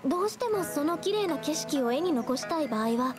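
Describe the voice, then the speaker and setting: A high-pitched young woman painter from an anime game, shy and self-doubting. She speaks softly and earnestly in a hesitant, reflective way, with clear background music. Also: lively intonation, fairly quick. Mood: earnest explaining.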